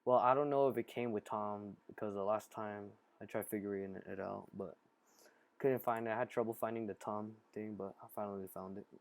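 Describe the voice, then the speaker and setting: A man casually talking through a software tutorial in a halting, improvised way, step by step. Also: on the low side, fairly even intonation. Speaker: tutorial host.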